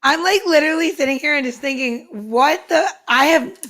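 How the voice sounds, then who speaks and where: Woman, forties, very high voice, casual co-host chat, blunt mock-dramatic delivery. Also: moderate pace, bright, forceful tone. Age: 40s.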